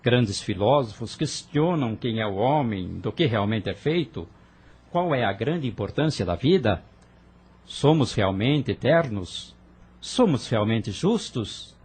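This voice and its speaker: A man's voice: mid-range voice, animated, slow pace, a little mellow, husky, heavily acted. A man playing an earnest, idealistic young rebel who argues passionately with his sister in an audio drama. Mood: persuasive. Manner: fervent, persuasive, earnest.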